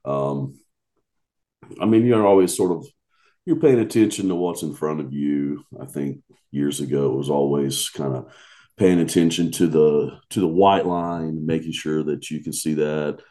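A man co-hosts a podcast in relaxed, easygoing chat, often hesitating and chuckling as he talks. His voice is very deep. The tone is dark and mellow. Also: measured pace. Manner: relaxed, laughs easily, hesitant. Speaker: podcast co-host. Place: remote recording.

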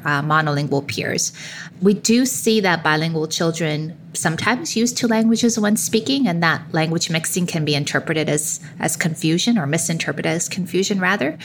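A woman's voice: sits low, slight background noise. A woman researcher answering interview questions. She explains things fluently and often opens points with "now" and small fillers.

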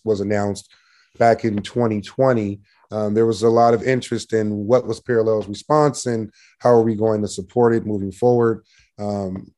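A man speaking as an interview guest in a conversational business style, with a loud, assertive, salesman-like delivery. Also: on the low side. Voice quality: loud, projecting voice.

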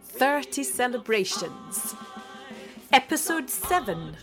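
Woman hosting a podcast, slow and formal. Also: on the high side, very animated, over music. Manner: slow, deliberate, formal delivery. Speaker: podcast host, theatre artistic director. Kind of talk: scripted podcast introduction.

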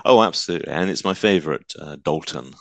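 A man hosting a podcast in relaxed, agreeable casual chat. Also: very deep voice, fast talker, vocal fry, dry recording. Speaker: podcast host.

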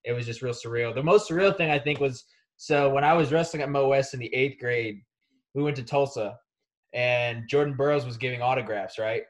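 A man's voice: mid-range voice, fairly quick. A young man from Kansas City, a college wrestler, chatting casually in an interview with lots of fillers and restarts. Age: young adult. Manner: relaxed, rambling with many fillers.

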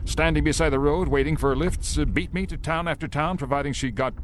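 A man's voice: on the high side, a little fast, a little hiss or hum, natural voice. An actor playing a nervous, haunted, obsessive male motorist in a suspense radio drama, stammering and pleading. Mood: uneasy, probing.

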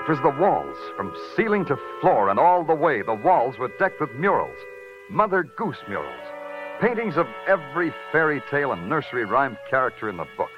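An actor playing a sardonic, hardboiled New York police detective with a literary turn, in 1940s radio style. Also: male voice, mid-range voice, sing-song, moderate pace, over music, natural voice. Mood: wry narration. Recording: dull vintage 1940s radio recording.

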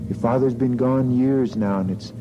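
A man's voice: moderate pace, dull audio, noisy background, lightly acted. A man voicing a stern widowed mother who scolds her children, with a New Mexican accent. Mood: scolding. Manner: sharp scolding, high strained voice.

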